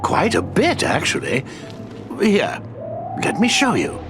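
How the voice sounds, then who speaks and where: Eccentric old game wizard: kindly, chatty, pitch swooping wildly, music underneath. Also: male voice, wildly swooping pitch, slow, heavily acted. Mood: delighted, playful. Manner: whimsical, rambling, chatty. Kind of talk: video game cutscene acting.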